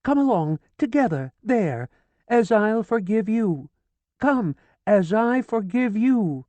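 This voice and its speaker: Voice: big pitch swings, takes it slowly, mellow, no room sound, highs cut off. A reader voicing a frantic, grieving older woman who pleads and nearly screams, in an audiobook. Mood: hysterical pleading. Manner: shrill, pleading, near screaming. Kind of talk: audiobook character voice.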